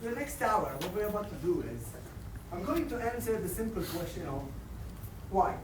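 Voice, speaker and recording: A man in his thirties from Israel, a native Arabic speaker, giving a lively, joking lecture to a live audience. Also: high voice, moderate pace. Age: 30s. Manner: animated, joking, addresses crowd directly. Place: large hall with audience. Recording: echoey hall, distant mic, audience noise.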